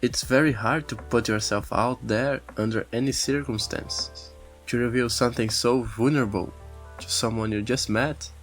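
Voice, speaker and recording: A man's voice: mid-range voice, steady tone, normal speed, soft background music, natural voice. A man playing a kind, attentive café customer, supportive and articulate, in a fan-dubbed visual novel.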